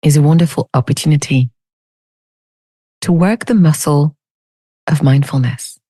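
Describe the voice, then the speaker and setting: A woman leading a guided meditation as a teacher, speaking in a soothing, unhurried, gently inviting way. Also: low-pitched, breathy edge, no room sound.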